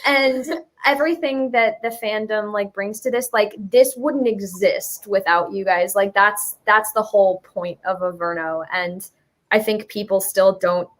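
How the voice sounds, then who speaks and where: A woman's voice: medium pitch, unhurried. A young woman chatting casually and enthusiastically as an interview guest, gushing with informal slang. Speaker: musical writer, interview guest.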